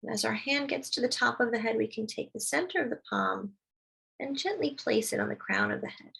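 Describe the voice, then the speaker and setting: A woman leading a guided meditation, speaking slowly and soothingly as she gives gentle instructions. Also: a little high, vocal fry, breathy edge.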